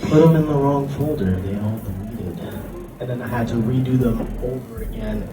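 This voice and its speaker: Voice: medium pitch, moderate pace. A convention panelist chatting and telling stories in a playful, informal way. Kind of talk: casual panel storytelling and chat. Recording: echoey panel room, distant microphone, music.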